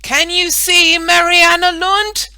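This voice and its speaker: Brief: a reader playing a kind, mischievous small boy, bright and eager. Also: mid-range voice, sing-song, a little slow, voice pushed up. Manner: eager, raised voice. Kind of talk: storybook reading.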